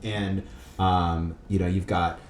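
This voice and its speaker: A man with a deep voice hosts a relaxed, conversational interview, speaking bluntly and supportively and swearing freely. His voice echoes in the room over faint noise. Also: takes it slowly. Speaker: podcast host. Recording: roomy echo with faint noise.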